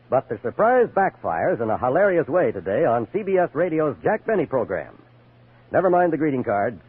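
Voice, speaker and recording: A man's voice: mid-range voice, breathy edge. An American man announcing programs in a scripted 1950s network radio style. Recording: muffled 1950s radio broadcast recording.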